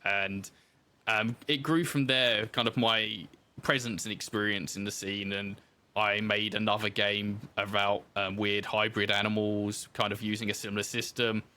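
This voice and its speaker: Bright-voiced, low-pitched man chatting casually. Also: little pitch movement, moderate pace. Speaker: podcast guest, game designer and podcaster. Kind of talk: casual interview chat.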